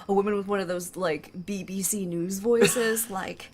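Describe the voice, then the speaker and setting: A woman's voice: medium pitch, steady tone, moderate pace, darkish tone, slight background noise, close to an everyday voice. An actress playing a lovelorn, sardonic woman diplomat, conversational and hesitant, in an audio drama. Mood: hurt, subdued. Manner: hesitant, conversational, self-correcting.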